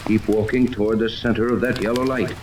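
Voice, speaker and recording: Casting: male actor for steady, authoritative sea captain, low register, vintage radio adventure, noisy recording with rain. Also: close to an everyday voice. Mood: grave, deliberate. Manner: authoritative, deliberate, gives orders. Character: commanding, level-headed male yacht captain leading an expedition. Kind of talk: 1930s radio serial acting. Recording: noisy 1930s radio, rain ambience over it.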